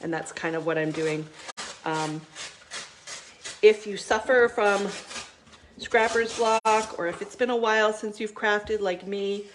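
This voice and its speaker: A woman's voice: on the low side, a little hiss or hum. A middle-aged woman hosting a live crafting video, chatting casually with many ums while she works, still stuffy from a cold.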